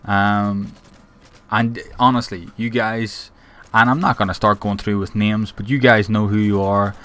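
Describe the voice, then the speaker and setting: Guy talking over game footage, lowish voice, rambles a lot with ums. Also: a bit bright. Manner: rambling, lots of fillers and restarts. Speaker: gaming channel host. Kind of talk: casual reflective talk to viewers.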